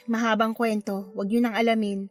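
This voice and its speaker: An actress playing a guarded, heartbroken younger sister in a radio drama, with a wry, reluctant manner. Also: female voice, on the high side, level tone, a little slow, faint music behind. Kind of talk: Tagalog radio drama acting.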